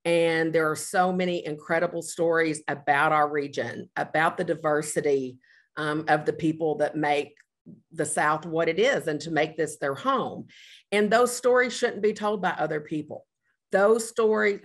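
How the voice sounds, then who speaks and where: A middle-aged woman on a panel, speaking earnestly and in a measured way, with frequent ums. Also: sits low. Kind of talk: panel discussion.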